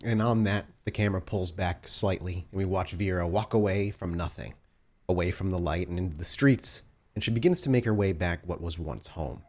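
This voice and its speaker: A man working as game master, narrating a tabletop game in a cinematic, deliberate and dramatic way. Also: sits low, a little mellow.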